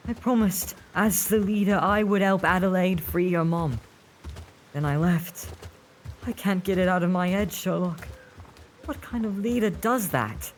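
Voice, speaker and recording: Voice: mid-range voice, measured pace, a little hiss or hum, close to an everyday voice. An actor playing an earnest, self-reproachful street boy who leads a gang, in an audio drama. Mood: remorseful. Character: earnest, guilt-ridden street-urchin boy leader.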